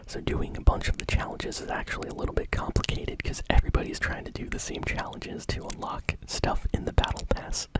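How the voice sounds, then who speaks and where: A host whispering informal, enthusiastic video game news in an ASMR style. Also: rough recording. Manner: whispering throughout. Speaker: host of a gaming news show.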